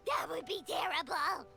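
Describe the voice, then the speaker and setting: A woman voicing a tiny, excitable childlike fairy companion in an anime game, with a squeaky voice. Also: full character voice. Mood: horrified. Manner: refers to self in third person.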